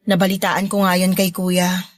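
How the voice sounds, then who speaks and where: An actress playing a supportive, affectionate married older sister in a Tagalog radio drama, with a Filipino accent. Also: female voice, on the low side, completely flat delivery, normal speed, dry recording, natural voice. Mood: proud, cheerful. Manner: encouraging, affectionate.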